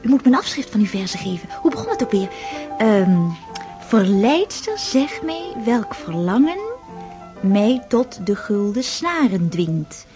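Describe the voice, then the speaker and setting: A woman's voice: mid-range voice, big pitch swings, measured pace. An actress in a radio drama playing a young countess, girlish, innocent and romantic, who repeats words in her eagerness. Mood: wistful, tender. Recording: music behind the voice. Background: music.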